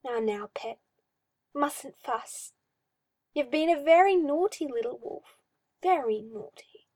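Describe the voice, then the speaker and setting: A woman's voice: high-pitched, lively intonation, darkish tone, no echo, pitched above the performer's own voice. A cruel, possessive male magister, as a woman reader puts him on, croons with sly mock tenderness and stretched-out words. The sound is dry and close. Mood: cooing menace. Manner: cooing, drawn-out, mock-tender.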